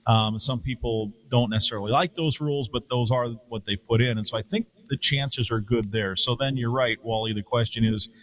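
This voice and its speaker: A man who calls radio play-by-play, a guest answering questions in a measured, explanatory way. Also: medium pitch, fairly bright tone, rough recording. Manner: measured, explains carefully with restarts. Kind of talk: interview answer.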